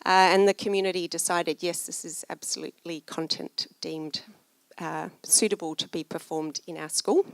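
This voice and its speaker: A woman's voice: on the low side, steady tone, normal speed, vocal fry, no room sound, rough recording. A woman telling a story as a conference panel speaker. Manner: storytelling, acting out quoted voices. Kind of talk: storytelling talk. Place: conference room.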